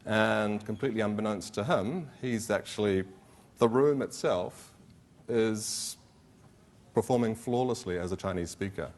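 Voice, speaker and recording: A man's voice: fairly low pitch, unhurried, a little mellow. A middle-aged man giving a philosophy conference talk, conversational and hedging, with many fillers and restarts. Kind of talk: academic conference presentation.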